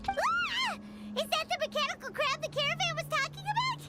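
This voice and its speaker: A woman voicing a tiny, excitable female fairy companion in a game, chirpy and talking about herself in the third person. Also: very high-pitched, fairly quick. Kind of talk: game voice acting. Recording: game audio under loud music.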